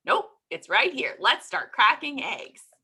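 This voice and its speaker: A woman's voice: a little high, very animated, slow pace, pushed and bright, husky, pitched above the performer's own voice, exaggerated voice. A woman storyteller voicing an earnest, literal-minded husband, eager and simple, in a folk tale.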